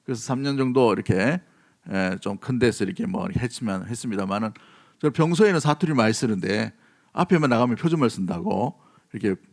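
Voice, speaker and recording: A man's voice: on the low side, animated, quick pace, vocal fry. Emphatic Korean male preacher. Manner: emphatic, repeats key phrases, questions the congregation. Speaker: pastor preaching. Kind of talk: sermon.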